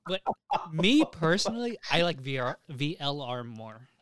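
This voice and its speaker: A man's voice: on the high side, very animated, a little slow, fairly bright tone, clean tone, no echo. A young man chatting casually as a podcast host, emphatic and opinionated, repeating himself and swearing freely.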